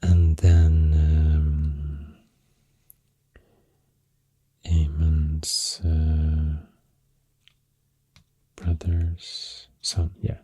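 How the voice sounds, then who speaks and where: Deep, breathy Swedish-accented man, half-whispering. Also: dark tone, no room sound. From Sweden. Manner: hesitant, unhurried, halting rambling in short phrases. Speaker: ASMR creator and host. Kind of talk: soft-spoken ASMR rambling. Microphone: close, dry microphone.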